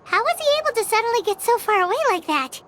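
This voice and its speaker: Game sidekick: tiny childlike girl, extremely high and squeaky, perky, curious, lively pitch swings. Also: quick pace, a little hiss or hum, full character voice. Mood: puzzled. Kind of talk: video game voice acting. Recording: loud music under the voice.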